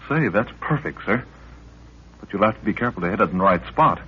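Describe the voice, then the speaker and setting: A man's voice: lowish voice, expressive, fast talker, breathy edge, highs cut off. A man playing an eager, respectful young expedition member in a 1930s radio drama, plain-spoken and deferential, on an old recording. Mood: keen.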